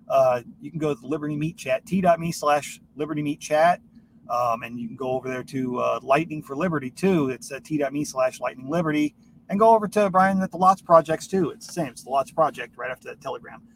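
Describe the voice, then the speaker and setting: A man's voice: a little high, fast talker. Man rambling, far from a noisy mic. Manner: informal, swears casually. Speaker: podcast host. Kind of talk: casual rambling solo podcast talk. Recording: noisy, distant microphone.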